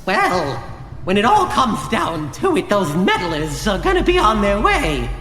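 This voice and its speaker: Casting: imperious scheming villain, high-pitched and hugely animated, audio drama. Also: male voice, crowd noise, heavily acted. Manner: slow, menacing, imperious. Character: scheming male villain warden, commanding and contemptuous. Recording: echoey hall reverb, faint noise.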